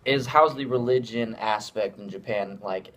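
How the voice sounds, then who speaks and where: Low-voiced young man, rambling interviewer. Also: moderate pace, fairly bright tone. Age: young adult. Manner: rambling, personal questions. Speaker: student interviewer. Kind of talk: casual interview.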